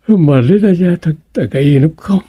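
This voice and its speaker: A man playing a muddled comic storyteller in a radio comedy, halting and muttering with exclamations. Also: on the high side, lively intonation, dark tone, slightly rough, no room sound. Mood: flustered.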